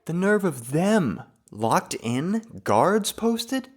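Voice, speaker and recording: Casting: young male actor as cheeky colt apprentice, youthful, impetuous, lively swooping delivery, radio drama. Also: sits high, very slow, full character voice. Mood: indignant. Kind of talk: fan-made radio drama acting.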